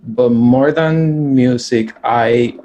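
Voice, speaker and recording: A man from Puerto Rico, a music producer, speaking slowly and thoughtfully in a casual interview. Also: medium pitch, mellow, lo-fi sound, slight background noise. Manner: reflective, slow, thoughtful pauses. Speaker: sound designer and music producer.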